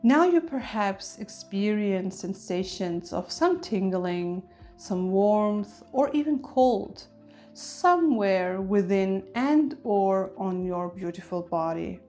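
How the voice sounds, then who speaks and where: Slow-paced woman guiding a soothing meditation. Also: medium pitch, lively intonation. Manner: soothing, gentle, deliberate phrasing. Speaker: meditation guide. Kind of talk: guided meditation, ASMR. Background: soft music with chimes.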